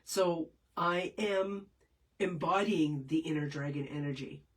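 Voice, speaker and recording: A woman's voice: deep voice, a little slow, distant mic, poor audio. A woman with a head cold, answering viewer questions as a spiritual teacher in a casual, meandering live session. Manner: meandering, many fillers and restarts.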